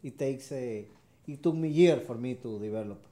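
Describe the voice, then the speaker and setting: Soft-toned Venezuelan man, echoey room. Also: medium pitch. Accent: Venezuelan Spanish. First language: Spanish. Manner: halting, repeats short affirmations. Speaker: harp player. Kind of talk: interview answers.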